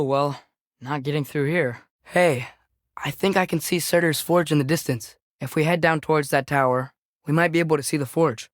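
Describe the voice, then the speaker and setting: A man's voice: on the high side, a bit bright, no echo. Earnest teenage boy adventurer, close dry sound. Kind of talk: video game character acting.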